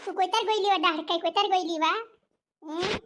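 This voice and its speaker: A performer voicing an excitable village girl in a comedy cartoon, chattering and repeating phrases insistently with a rural western Madhya Pradesh accent. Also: very high voice, fairly quick. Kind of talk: cartoon dialogue in a rural dialect.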